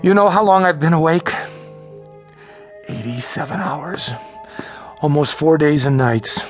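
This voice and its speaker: A man in his thirties at the end of his strength. His voice is fairly high and moves restlessly, weary and halting. It is a radio drama with faint music underneath. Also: lively intonation. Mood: weary, resigned. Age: 30s. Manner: hesitant, halting. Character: exhausted, frightened, agitated thirty-five-year-old male psychiatric patient. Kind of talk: audio drama acting.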